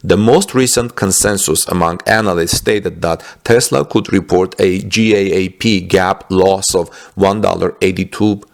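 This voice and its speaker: A man presenting business news, reading from a script with asides and sometimes halting over his words. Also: sits low, gravelly. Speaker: host reporting business news.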